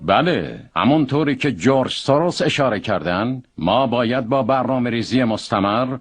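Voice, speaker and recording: An actor playing an impatient, authoritative Western boss plotting regime change in a propaganda drama, speaking formally. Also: male voice, mid-range voice, expressive, lightly acted. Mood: measured authority. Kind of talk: scripted propaganda film acting in Persian. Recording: music behind the voice.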